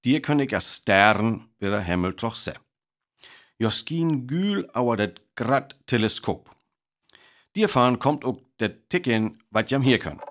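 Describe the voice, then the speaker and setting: A man with a North Frisian accent playing an earnest live radio reporter in a radio play, announcing formally and deliberately. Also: mid-range voice, sing-song, a bit bright. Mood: earnest, attentive.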